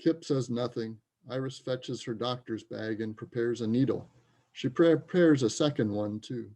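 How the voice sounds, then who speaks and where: A male narrator reads stage directions for an amateur play. His mid-pitched voice is mellow, with plenty of creak. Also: measured pace.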